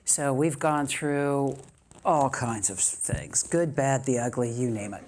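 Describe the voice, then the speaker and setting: Deep-voiced lady in her 60s reading a friend's letter at an event, careful, chatty asides. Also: slow, a little mellow. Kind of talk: public speech reading a letter aloud.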